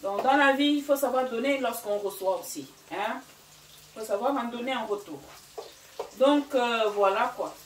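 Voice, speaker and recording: Hesitant, chatty woman, French not her first language, cooking vlog host, higher voice, distant mic. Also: normal speed, reverberant, a little hiss or hum. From Gabon and Equatorial Guinea. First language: Fang or another local language of Equatorial Guinea. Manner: chatty, hesitant, many fillers. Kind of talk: casual cooking vlog talk. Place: home kitchen.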